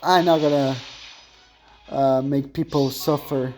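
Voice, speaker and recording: A man's voice: medium pitch, steady tone, slow pace, a little mellow, faint music behind. A young man with a thin voice chatting casually and rambling while commentating on his gameplay, often correcting himself mid-sentence.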